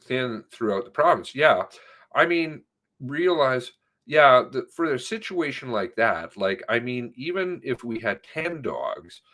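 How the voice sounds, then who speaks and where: A man's voice: mid-range voice, fairly bright tone. A man reading an interview transcript aloud, stressing words for emphasis as he goes. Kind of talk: read-aloud of spoken interview transcript with commentary.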